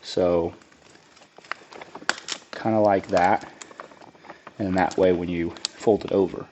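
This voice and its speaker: Raspy man with a cold, noisy room. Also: low-pitched, slow pace, dull audio. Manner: plain-spoken, relaxed, thinks aloud with restarts. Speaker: lawn care business owner demonstrating a DIY build. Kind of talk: casual how-to narration while working.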